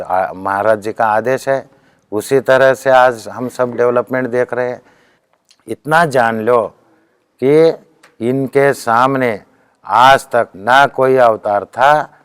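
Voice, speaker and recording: Male spiritual teacher, Deccan Hindi accent, earnest discourse delivery, mid-pitched resonant voice in a dry recording. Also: smooth, no room sound.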